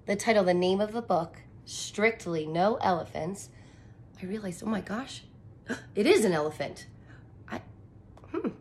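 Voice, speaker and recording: A woman's voice: medium pitch, a little hiss or hum. A woman in her thirties, a teacher reading a picture book aloud and explaining it expressively in simple phrases for young children. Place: small furnished room indoors.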